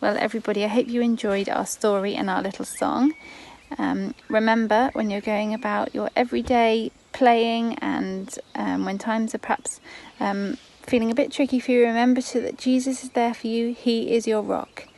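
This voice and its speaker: A young adult woman reading a story to small children in an expressive, drawn-out way, as host of a children's Bible story time. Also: fairly high pitch, gravelly. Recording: noticeable background noise, homemade recording.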